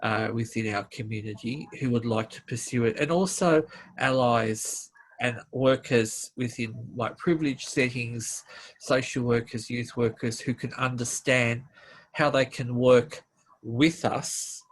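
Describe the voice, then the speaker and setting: A man hosting an interview series, speaking haltingly and searching for words. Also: medium pitch, fairly even intonation, rough recording. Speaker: interview host.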